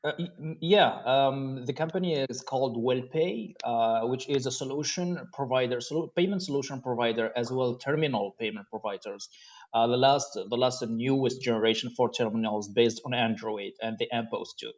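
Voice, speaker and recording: A man's voice: medium pitch, moderate pace. A man from Morocco, a payments business manager, speaking as a guest on a video call, hesitant and repeating words. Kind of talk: casual remarks on a video call. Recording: dull lo-fi call audio.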